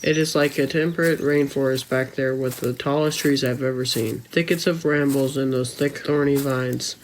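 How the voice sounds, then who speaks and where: A man's voice: medium pitch, steady tone, moderate pace, a little hiss or hum, lightly acted, rough recording. An earnest boy storyteller, played by a man, telling his adventure for an audio story podcast. He reads descriptively in a mid-range voice and is easily irked. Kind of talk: scripted first-person storytelling for a family podcast.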